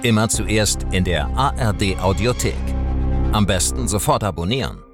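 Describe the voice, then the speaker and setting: A man's voice: on the low side, lively intonation, moderate pace. A man reading a scripted podcast promo as an announcer. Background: loud music bed.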